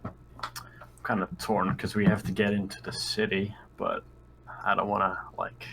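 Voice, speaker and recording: A man's voice: lowish voice, level tone, moderate pace, gravelly, a bit bright, a little hiss or hum, low-quality recording. A man playing a tabletop roleplaying game, chatting casually in and out of character.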